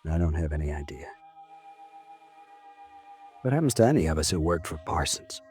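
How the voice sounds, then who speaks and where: A man's voice: very deep voice, very animated, normal speed, darkish tone. An actor playing a weary, bitter henchman of a tycoon, resigned and cynical, in 1950s radio drama style. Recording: restored old radio broadcast, faint music.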